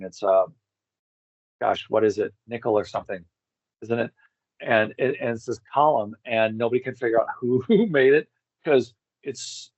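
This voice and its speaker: A man chatting casually as an interview guest, halting and informal, often repeating words for emphasis. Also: lowish voice, no echo, highs cut off. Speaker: interview guest, tour organizer. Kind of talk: casual rambling conversation.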